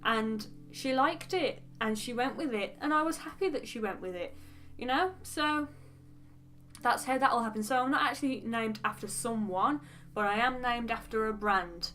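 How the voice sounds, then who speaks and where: A woman's voice: a little high, moderate pace, a bit bright, a little hiss or hum. Chatty Midlands woman vlogging. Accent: West Midlands English. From English Midlands. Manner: chatty, rambling, frank, with asides. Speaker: beauty vlogger. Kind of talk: casual get-to-know-me chat.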